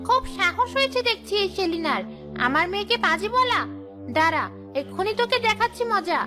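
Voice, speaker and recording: Woman voicing a very high-pitched, protective ghost mother. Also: animated, fairly quick, music in the background. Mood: indignant. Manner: fussing over her child, talking to herself. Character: protective female shakchunni ghost mother, doting and vengeful. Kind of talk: children's cartoon story acting.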